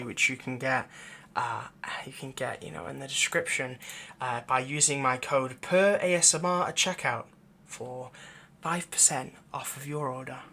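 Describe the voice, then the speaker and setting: A man's voice: mid-range voice, creaky, pushed and bright, a little hiss or hum. A man who creates audio roleplays, chatting casually in a rambling, hesitant, informal way as he addresses his viewers. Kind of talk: casual outro chat with plugs.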